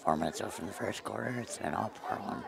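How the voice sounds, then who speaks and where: A man giving color commentary at an outdoor high school football game in short, relaxed remarks. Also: fast, gravelly. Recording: loud crowd noise. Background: loud crowd noise.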